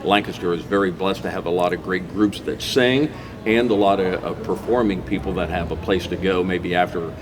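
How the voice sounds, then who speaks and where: A man's voice: low voice, steady tone, a little fast. A man chatting informally as a talk show host, with hesitant phrasing. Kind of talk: unscripted interview hosting. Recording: clear background noise.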